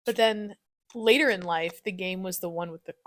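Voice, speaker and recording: A young adult woman hosting a podcast in a casual chat, giggly and animated as she tells stories with slangy exclamations. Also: on the low side, moderate pace, no room sound, studio quality. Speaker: podcast host. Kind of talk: casual chat, reminiscing with a friend.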